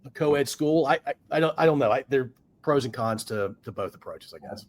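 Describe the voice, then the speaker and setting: A man hosting a podcast, asking questions in a halting, hesitant way full of restarts. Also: mid-range voice, lively intonation, slight background noise. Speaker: podcast host. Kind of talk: casual conversational interview.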